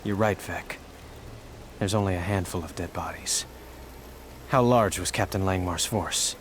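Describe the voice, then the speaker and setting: Deep-voiced, steady adventurer. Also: male voice, unhurried, slight background noise. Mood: grave, concerned. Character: calm, steady male adventurer, seeker of visions.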